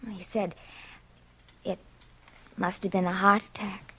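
An actress playing a solicitous, plain-spoken woman in a 1940s radio drama, with a husky voice. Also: medium pitch, flat delivery, a little slow, sharp tone, exaggerated voice, poor audio. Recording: vintage 1940s radio broadcast.

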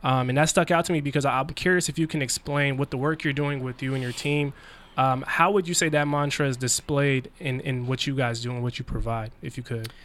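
A man hosts a casual podcast interview, cheering on his guest with quick interjections and then posing long, winding questions. His voice sits at an ordinary middle pitch. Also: steady tone, fairly bright tone, slight background noise. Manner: friendly, hype-filled banter, reads a quote aloud. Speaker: podcast host.

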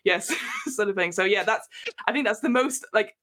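A woman's voice: mid-range voice, animated, normal speed, fairly bright tone. A woman with a northern English accent from northwest England, a podcast guest telling a story in a rambling, self-mocking way. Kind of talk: casual storytelling chat.

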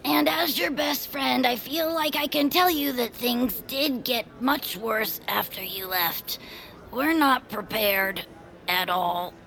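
An excitable, anxious little stage performer in a cartoonish audio drama. The voice is high and creaky, childlike and earnest. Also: female voice, slow pace, exaggerated voice, rough recording. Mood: tender, earnest. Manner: excitable, wide-eyed, earnest.

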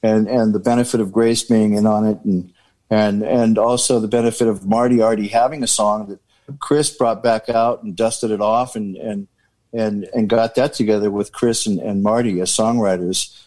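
A man's voice: fairly low pitch, fairly even intonation, normal speed, darkish tone. A man in a band interview, talking casually and rambling, repeating words as he searches for what to say. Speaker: band member.

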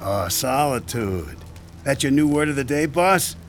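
Radio-drama henchman, played by a man: slow-witted, stammering, gets lost mid-sentence. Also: mid-range voice, expressive. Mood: dopey. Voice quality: stammering, thick-headed. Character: dim-witted young mob henchman. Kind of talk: audio drama acting. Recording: music underneath.

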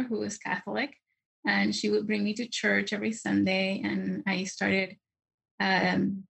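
A woman, earnestly telling her personal story as a podcast guest, often pausing with fillers. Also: medium pitch, gravelly, a bit bright, slightly rough, poor audio. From Chile. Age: 40s. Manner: earnest, hesitant with frequent fillers.

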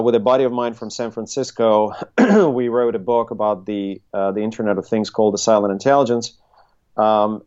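A middle-aged man, speaking conversationally as an interview guest and haltingly thinking his way through his answers. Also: on the low side, a little mellow, clear, resonant tone, dull audio. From Russia, Soviet Union. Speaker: interview guest, tech consultancy CEO. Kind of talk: conversational interview, recounting career.

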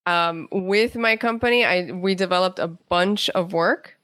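A woman's voice: on the low side, steady tone, measured pace, a bit bright, dry recording. A woman speaking casually as an interview guest, a writer telling stories in a chatty way and acting out bits of quoted conversation.

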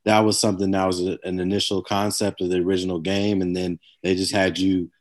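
A man interviewing a guest, asking long, measured questions. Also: sits low, little pitch movement.

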